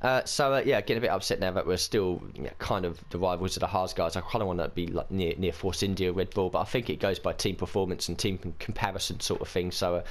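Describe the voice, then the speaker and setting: A man hosting a racing game let's-play, chatting casually and thinking aloud, his voice croaky and hoarse from a cold. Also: low-pitched, steady tone, fast, hardly any pauses. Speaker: gaming channel host.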